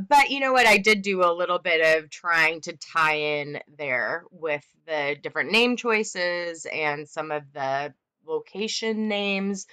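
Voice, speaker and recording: Author guest, woman in her thirties, low-ish bright voice, lively storytelling on a home video call. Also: clear, resonant tone. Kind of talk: casual video-call interview. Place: home room.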